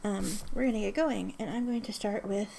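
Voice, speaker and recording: A woman vlogging casually with a bit of vocal fry running through her words. She is sniffly from allergies and rambles, correcting herself mid-sentence and filling gaps with ums. Faint room noise sits behind her. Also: mid-range voice, brisk, dark tone, rough recording. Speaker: ink swatching vlogger. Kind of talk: casual chatty vlog.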